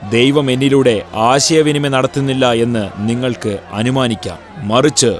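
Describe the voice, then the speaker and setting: A man voicing a solemn, stern prophet who proclaims with authority in a dubbed animated Bible drama. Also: mid-range voice, measured pace, soft background music, natural voice. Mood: grave, challenging.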